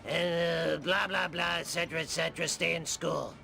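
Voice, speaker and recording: A man voicing a cynical old showbiz clown with gravelly, world-weary patter for a cartoon game. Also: on the high side, fairly even intonation, normal speed, sharp tone, slight background noise, heavily acted. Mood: jaded. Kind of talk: animated comedy game acting.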